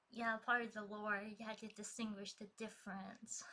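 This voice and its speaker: A woman's voice: mid-range voice, pushed and bright. A woman chatting casually on a live stream, laughing often and reading viewer messages aloud, with a trained, projecting singing voice. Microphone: streaming desk mic.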